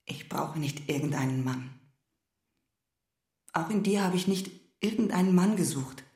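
Low-voiced wounded, proud wife. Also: female voice, expressive, a little slow, darkish tone, echoey room. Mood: reproachful. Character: wounded, proud wife confronting her husband and lover. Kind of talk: German radio drama dialogue.

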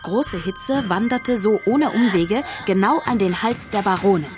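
A woman's voice: medium pitch, a little slow, over music, lightly acted. A woman narrating a children's audio drama as a scripted storyteller.

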